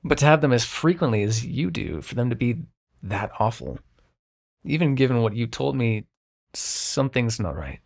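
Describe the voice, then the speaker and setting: Man as a gravelly, grumpy immortal dream-bringer. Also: mid-range voice, sing-song. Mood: awkward concern. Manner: brusque, exasperated, halting, softening into concern. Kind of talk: ASMR roleplay acting.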